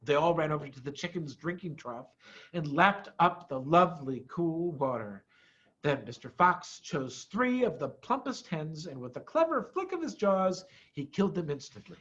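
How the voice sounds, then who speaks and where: Very animated man reading a storybook. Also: fairly high pitch. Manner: animated, deliberate, dramatic emphasis. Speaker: storybook reader, head of school. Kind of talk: expressive children's story read-aloud. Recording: dull, muffled lo-fi audio.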